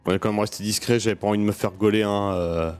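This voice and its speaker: A man's voice: fairly low pitch, fairly even intonation, quick pace, a little mellow, clear, resonant tone. A man giving casual let's play commentary, muttering to himself informally and swearing freely. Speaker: gaming video host. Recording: game music behind the voice. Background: video game music.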